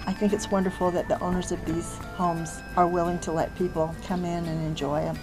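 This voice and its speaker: A woman speaks in a conversational, enthusiastic interview manner. Her voice is slightly low, and music plays behind it. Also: flat delivery, fairly quick, poor audio.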